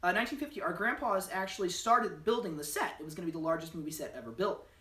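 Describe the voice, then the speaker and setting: Woman co-host for a spoof interview, deadpan, bright tone, mic set back. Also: on the low side. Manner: deadpan, halting with fillers and restarts. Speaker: channel co-host. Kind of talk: mock-serious joke interview. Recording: roomy, distant mic.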